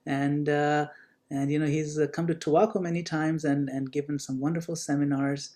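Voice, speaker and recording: A man hosting at a temple, giving a halting formal introduction of a guest speaker with many fillers. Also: a little high, flat delivery, mellow.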